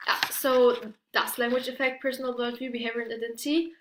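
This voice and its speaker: A woman's voice: on the high side, noisy background. Hesitant young woman in an echoey room. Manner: hesitant, with fillers and self-corrections. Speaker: student presenter. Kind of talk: class presentation, partly read.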